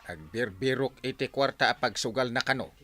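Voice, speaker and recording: An actor with an Ilocano Filipino accent playing an exasperated, excitable neighbor in a radio comedy-drama, exclaiming comically. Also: male voice, medium pitch, moderate pace, lightly acted. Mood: aggrieved. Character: excitable, exasperated male neighbor refusing to lend money.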